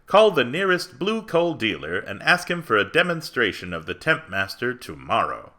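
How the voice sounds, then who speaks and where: Male actor as vintage radio announcer, lively swooping intonation, bright punchy tone, emphatic, earnest sales read. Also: medium pitch, moderate pace. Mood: earnest, persuasive. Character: earnest, upbeat 1940s radio commercial announcer. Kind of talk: 1940s radio advertisement read.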